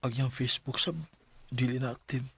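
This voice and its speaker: An actor playing a hesitant, evasive young man in a radio drama, with a Filipino Cebuano accent and halting delivery. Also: medium pitch, flat delivery, pushed and bright, breathy edge. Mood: subdued. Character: hesitant young man, evasive boyfriend and son named Jay.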